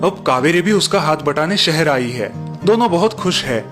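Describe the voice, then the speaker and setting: A man reading scripted storybook narration in a measured storytelling manner. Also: on the high side, expressive, music in the background.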